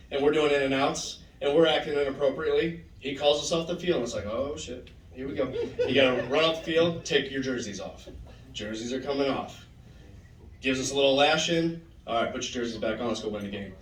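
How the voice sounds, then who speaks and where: A man giving a heartfelt tribute to a former teammate, telling stories and quoting him. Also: medium pitch. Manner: storytelling, animated quoting. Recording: roomy hall, voice from far off.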